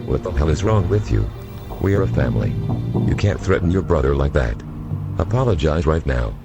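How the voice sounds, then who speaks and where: A man's voice: very deep voice, very animated, fairly quick, gravelly, over loud music, heavily acted. A man playing a stern, weary old father. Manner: scolding and impatient.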